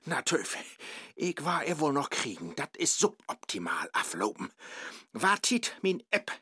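An actor playing a hapless, lovestruck Faust in a comic rhymed radio play, with a Low German accent and theatrical asides. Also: male voice, wildly swooping pitch, unhurried, pushed and bright, breathy edge, no room sound, clean studio sound, heavily acted. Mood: whining, frustrated. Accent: Low German, northern Germany. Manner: theatrical, exclaiming, muttering asides. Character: lovestruck, hapless modern Faust chasing a young woman.

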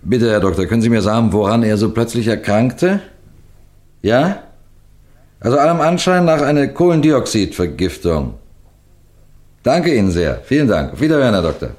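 A man acting a shrewd middle-aged police detective in a radio mystery, with a deliberate, lecturing manner that builds suspense through pauses. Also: mid-range voice, lively intonation, darkish tone, natural voice. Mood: brisk, inquiring. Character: shrewd, unhurried senior police detective explaining a case. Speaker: detective narrator.

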